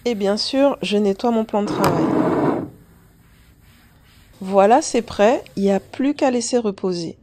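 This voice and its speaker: A woman's voice: medium pitch, a little slow, long pauses, background noise. A woman vlogger casually talking the viewer through a homemade remedy, chatting to them directly like a friend. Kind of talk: casual how-to narration to camera.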